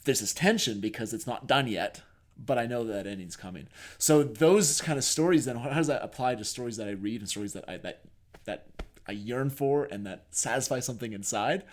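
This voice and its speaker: A man's voice: mid-range voice, brisk. A man co-hosting a podcast, explaining his thoughts in a reflective, conversational way and thinking aloud as he goes. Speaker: podcast co-host. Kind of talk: conversational discussion.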